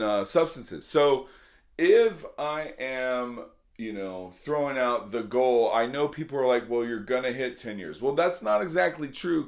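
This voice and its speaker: A middle-aged man with a slightly hoarse voice, talking earnestly and casually to his viewers as a vlog host, repeating points for emphasis. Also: fairly high pitch. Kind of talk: casual motivational talk to camera.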